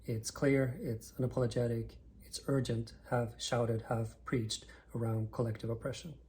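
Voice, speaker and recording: A man from northern Sweden, in his thirties, hosting a vinyl record channel in enthusiastic, measured scripted narration. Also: mid-range voice, flat delivery, warm tone, slight background noise.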